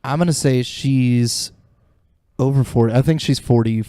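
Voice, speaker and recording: A man's voice: medium pitch, moderate pace, dark tone, clean tone, no echo, studio quality. A man co-hosting a podcast with casual banter, joking, teasing and swearing. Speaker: podcast co-host.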